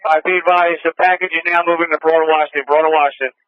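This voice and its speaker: A man's voice: a little high, flat delivery, fast, dry recording. A man dispatching on a police radio channel, clipped and repeating locations, with a Philadelphia accent. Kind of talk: police scanner radio traffic. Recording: muffled scanner radio audio.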